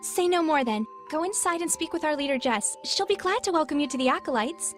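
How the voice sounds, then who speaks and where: Game role: calm, reassuring death-cult doorkeeper, notably high-pitched, measured. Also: female voice, soft background music. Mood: soothing. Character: serene, soothing female death-cult gatekeeper. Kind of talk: video game character acting.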